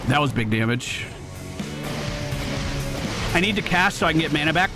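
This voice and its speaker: A young man commentating his own video game run, excitable and quick to hype big moments. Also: on the high side. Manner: excitable, shouts and hypes when things go well. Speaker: gaming streamer. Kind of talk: let's play commentary. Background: game music.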